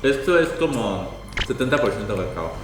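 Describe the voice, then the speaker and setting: A man's voice: lowish voice, sing-song, moderate pace, a little hiss or hum. A man hosting a casual travel vlog, explaining things to viewers in an upbeat way. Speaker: vlogger. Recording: echoey room with music.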